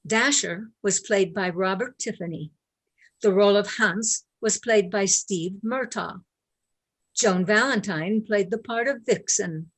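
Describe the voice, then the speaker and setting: Casting: female narrator, scripted radio play credits, mid pitch, unhurried.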